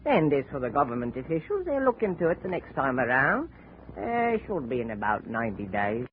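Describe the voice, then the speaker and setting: A man's voice: medium pitch, big pitch swings, a little fast, a little hiss or hum, natural voice. An actor playing a timid, fawning male company agent who talks in a fussy, ingratiating way, in 1940s radio acting. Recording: tinny old radio broadcast.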